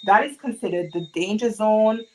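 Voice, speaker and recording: A woman's voice: mid-range voice, normal speed, slight background noise, rough recording. A young woman from Montserrat with a Montserratian accent, chatting with viewers and asking them questions during a sewing livestream. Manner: chatty, asks the chat many questions. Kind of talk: live-stream chat with viewers.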